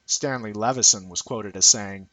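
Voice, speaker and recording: A man's voice: lowish voice, normal speed, bright, forceful tone, no echo. A man reading a scripted essay aloud as narration. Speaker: amateur reader of an essay.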